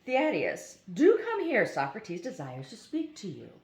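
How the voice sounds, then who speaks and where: A woman reading a philosophy essay aloud in a deliberate, emphatic way, now and then stumbling on foreign names. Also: mid-range voice, wildly swooping pitch. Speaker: reader. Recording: echoey room.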